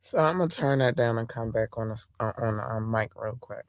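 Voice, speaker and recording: A man hosting a podcast who is hoarse and losing his voice, talking through the news in an impassioned, rambling way with many restarts. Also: mid-range voice, a little fast, dry recording. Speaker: podcast host. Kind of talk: casual talk-show commentary.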